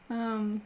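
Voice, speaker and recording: A woman's voice: fairly high pitch, darkish tone, slight background noise. A woman chatting casually while she builds miniatures on a live stream, laughing often and talking with many fillers and restarts. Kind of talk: casual chat while crafting.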